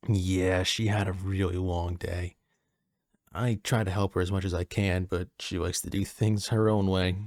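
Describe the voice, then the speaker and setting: A man playing a friendly, easygoing personal assistant at a manor in an audio roleplay, soft-spoken, casual and a little hesitant. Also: sits low, little pitch movement, moderate pace, no echo, studio quality. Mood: earnest, agreeable.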